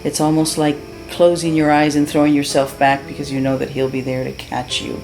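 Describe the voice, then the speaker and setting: A woman's voice: deep voice, completely flat delivery, a little fast, gravelly, faint music behind, low-quality recording. Earnest middle-aged Cuban-born woman. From Cuba. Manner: earnest, warm, with frequent fillers and restarts. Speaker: patient giving a testimonial. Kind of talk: unscripted testimonial.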